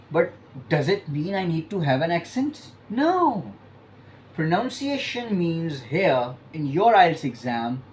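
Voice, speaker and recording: Slow, deliberate male IELTS teacher. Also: fairly high pitch, darkish tone, slight background noise, poor audio. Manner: emphatic, stretches key words, pauses between phrases. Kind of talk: explanatory lesson to camera.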